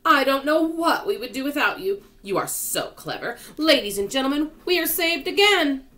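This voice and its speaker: A woman's voice: medium pitch, very animated, a little fast, voice pushed up, natural voice. A woman voicing a courteous old male grasshopper in a children's storybook, speaking in formal, reassuring speeches. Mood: grateful.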